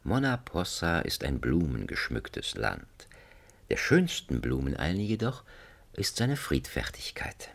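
A man narrating a satirical radio drama as a wry, mock-solemn storyteller, with a gravelly, husky voice. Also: extremely low pitch, wildly swooping pitch, unhurried, full character voice. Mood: wry, serene. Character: wry, leisurely male storyteller of a satirical fairy tale. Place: radio studio.

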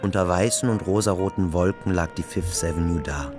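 Radio play narrator: male, deep voice, literary prose, set over music. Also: brisk.

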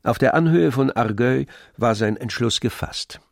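A man narrating a literary radio drama in a measured, precise storytelling manner. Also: medium pitch, vocal fry, no echo, clean studio sound. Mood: composed. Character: composed male literary narrator of a novel. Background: faint music with strings.

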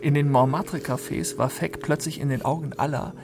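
An actor playing a narrator of a seedy Paris love tale, conversational and a little halting, as in a literary radio play. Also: male voice, fairly high pitch, fairly even intonation, noisy background, lightly acted. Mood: confiding, recounting. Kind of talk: conversational literary radio narration shared among several voices.

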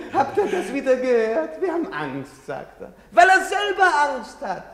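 A drunken soldier in a stage drama, boastful and bullying. His high voice swings wildly through slurred bluster, ringing in a very echoey hall. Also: very animated, slow pace, creaky, crowd noise, heavily acted. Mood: boastful, mocking. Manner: slurred, repetitive drunken bluster with laughing and outbursts. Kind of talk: theatrical stage acting. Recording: echoey theatre hall, long reverb.

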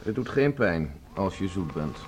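Casting: hard, commanding fugitive, deep voice, curt and menacing, radio play with light noise. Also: male voice, sing-song, unhurried. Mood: impatient. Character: tough human fugitive, commanding, menacing, impatient. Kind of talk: Dutch radio drama acting. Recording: noisy radio drama recording.

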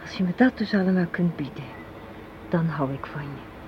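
An actress playing a sharp-tongued, unsentimental young woman in a radio play, sardonic and matter-of-fact as she argues. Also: deep voice, moderate pace, natural voice. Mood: ironic, listing. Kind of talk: Dutch radio drama acting. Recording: muffled, noisy old radio play recording.

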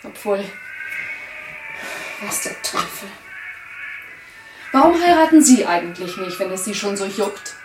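An actress playing a dry, sceptical, restless new bride in a German radio play, wry and brusque. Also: female voice, mid-range voice, sing-song, measured pace, long pauses, a little mellow. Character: newly married woman, dry, sceptical, restless. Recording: roomy, faint music behind.

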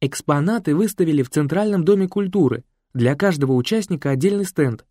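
A man's voice: fairly high pitch, brisk, dry recording, clean studio sound, voice pushed up, close to an everyday voice. A man doing a chatty village hostess. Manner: talkative and animated. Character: chatty, kindly village woman, a priest's daughter. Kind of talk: audiobook character voice.

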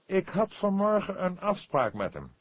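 Guy doing a fussy self-important book publisher for an audiobook, high voice that swoops around, very formal, gets huffy easily. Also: huge pitch swings, moderate pace, breathy edge, no echo, heavily acted. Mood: stiff, subdued. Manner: emphatic, formal, affected. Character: pompous, precise, easily indignant male book publisher.

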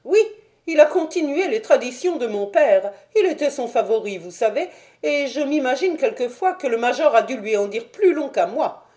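Woman as a nervous, fussy gentleman, pitched high. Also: expressive, normal speed. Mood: anxious. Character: anxious, fussy, indignant gentleman, pleading and perplexed.

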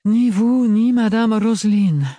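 A man's voice: high-pitched, fairly even intonation, measured pace, mellow, dry recording, studio quality, voice pushed up. A man voicing a tired, elegant noblewoman who speaks in a honeyed, mocking way. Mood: languid. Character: weary elegant noblewoman, sly and languid.

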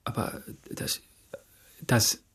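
An actor playing a bashful, unpolished young student in a radio drama, hesitant, naive and earnest. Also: male voice, drawn-out words, a bit bright, whispered, recorded from a distance. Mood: flustered, hesitant. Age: young adult. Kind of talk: German radio drama acting.

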